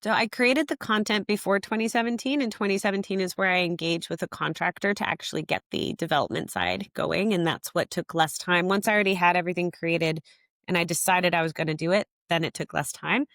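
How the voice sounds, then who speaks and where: This young woman talks things through in a reflective, unhurried way, leaning on "like" and little quoted asides from her own head. Her voice sits a bit low for a woman. The sound is close and dry, with no room around it. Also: fairly bright tone, no room sound. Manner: thoughtful, frequent "like" fillers, quotes her own thoughts. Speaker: app founder, guest. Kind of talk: reflective interview answers.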